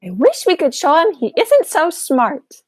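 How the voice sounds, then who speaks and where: A woman's voice: high-pitched, wildly swooping pitch, a little slow, darkish tone, no echo, clean studio sound, full character voice. A young woman playing a sweet, genteel elderly spinster aunt in a radio play, politely dithering. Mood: sweetly earnest.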